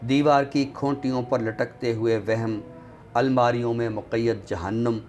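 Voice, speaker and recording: A man reciting poetry on a literary show in a deliberate, expressive way, returning to refrains. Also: medium pitch. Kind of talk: dramatic Urdu poetry recitation, then book talk. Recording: clearly noisy background ambience.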